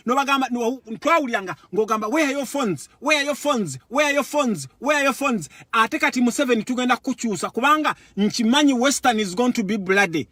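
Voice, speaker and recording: A Ugandan man speaks with a strikingly high, forceful voice. He delivers an impassioned political monologue, repeating words for emphasis and moving between languages. The sound is dry and close. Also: no room sound. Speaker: political commentator. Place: Europe.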